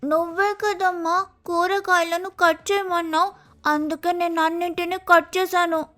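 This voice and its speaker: A woman's voice: very high voice, flat delivery, measured pace, fairly bright tone, faint music behind. A woman voicing a lazy, pampered young female sparrow in a cartoon moral story, whining and wheedling dramatically. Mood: sulky.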